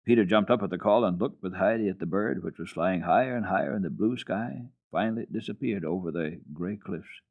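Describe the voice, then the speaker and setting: A man's voice: sits low, a little fast, gravelly, hardly any pauses, narrow, tinny audio. A man giving a dramatic storybook reading of a children's classic as a radio storyteller.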